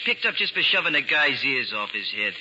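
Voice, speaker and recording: An actor playing a giggling, sadistic young gangster who taunts in a high, jeering sing-song, in 1940s radio acting. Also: male voice, sing-song, fairly quick, pushed and bright. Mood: taunting. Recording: muffled 1940s radio broadcast with hiss.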